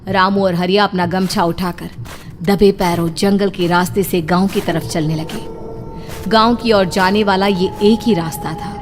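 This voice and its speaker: A woman delivering scripted narration of a horror story. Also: medium pitch. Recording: clear music under the voice.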